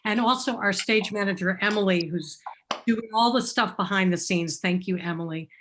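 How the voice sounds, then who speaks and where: A woman's voice: mid-range voice, normal speed, fairly bright tone. A woman, a theater director, giving informal thanks on a very noisy recording with clicks.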